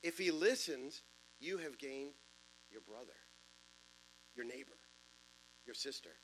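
A man in his fifties with a deep voice, preaching a sermon in a church in a conversational way, with self-deprecating jokes and asides. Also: lively intonation, a little slow, a bit bright, slight background noise, rough recording. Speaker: pastor. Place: church sanctuary.

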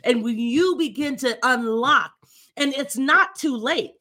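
A woman in her fifties with a bright, fairly high voice, talking earnestly about faith and calling. She sounds encouraging and often restarts her phrases. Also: measured pace. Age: 50s. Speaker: therapist, co-host. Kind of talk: faith talk conversation.

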